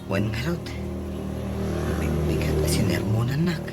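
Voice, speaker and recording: A man's voice: on the low side, big pitch swings, unhurried, darkish tone. A man with an Ilocano Filipino accent playing a hapless, sleepy young worker in a comedy radio drama. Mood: sheepish. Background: background music.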